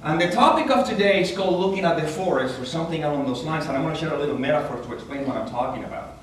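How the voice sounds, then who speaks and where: A man with a Puerto Rican accent gives an earnest, animated inspirational talk as a guest speaker at a church. His voice sits a little high for a man. He is picked up from some distance in a very echoey hall, with faint noise behind him. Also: rapid-fire. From Puerto Rico. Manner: earnest, animated, rises in pitch when stressing a point. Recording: echoey hall, taken from far off.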